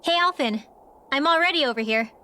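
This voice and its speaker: A woman's voice: high-pitched, lively intonation, brisk, bright, forceful tone, a little hiss or hum. A woman playing a feisty, distrustful young female fighter in a video game, blunt and informal. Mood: pointed.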